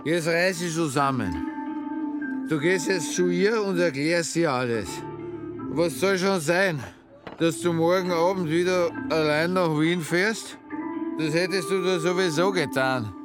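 An actor playing a bossy, impatient friend in a radio drama, with a brisk, commanding manner. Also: male voice, a little high, over music, natural voice. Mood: urging, impatient. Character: bossy, impatient male friend giving advice.

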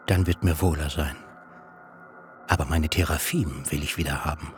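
An actor playing an aggrieved old patriarch in a radio drama, declaiming accusations in heavy, drawn-out phrases. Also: male voice, a bass voice, fairly even intonation, slightly rough, faint music behind, full character voice. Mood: grim, resentful. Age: older. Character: aggrieved, blustering old patriarch, plump and hard-hearted. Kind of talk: literary radio drama acting.

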